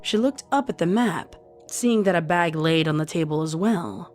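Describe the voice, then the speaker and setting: Low-voiced woman reading a story aloud. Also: animated, moderate pace. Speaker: fan fiction reader. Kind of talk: scripted story narration. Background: music.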